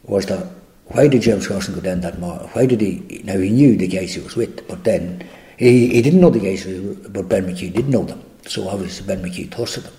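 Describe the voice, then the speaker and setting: An older man with an Irish accent, answering interview questions in a halting, reflective way with many restarts. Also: low-pitched, warm tone. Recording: echoey room.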